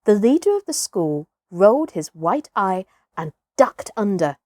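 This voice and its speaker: Animated, unhurried woman storyteller. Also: medium pitch, no echo, studio quality. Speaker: storytime host and reader. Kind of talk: expressive children's storybook narration.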